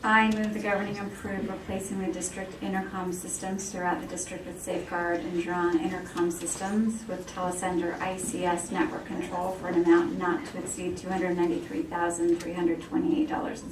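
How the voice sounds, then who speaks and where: A woman's voice: fairly low pitch, little pitch movement, fairly quick, few pauses. A woman speaking conversationally as a board member, asking questions and making motions at a public meeting. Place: indoor meeting room. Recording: echoey, noisy room, distant microphone.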